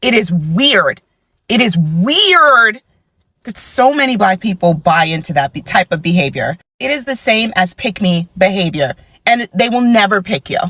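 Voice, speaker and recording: A woman's voice: medium pitch, sing-song, normal speed, fairly bright tone, dry recording. A woman giving emphatic social media commentary, repeating points for effect in an exasperated way. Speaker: commentary creator.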